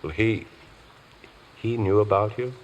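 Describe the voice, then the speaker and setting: Actor as deep-voiced earnest defence barrister. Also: male voice, measured pace, natural voice. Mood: reassuring. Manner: hesitant starts, insistent, urgent. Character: earnest, stubborn male defence barrister, protective and smitten. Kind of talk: old-time radio drama acting.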